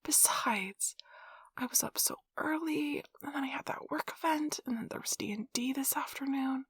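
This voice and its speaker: A woman playing an affectionate, playful, nerdy young girlfriend in an intimate, soft-spoken ASMR role-play, with a breathy, whispery voice and a tender, teasing manner. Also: high voice, normal speed, no echo. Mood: soft, coaxing.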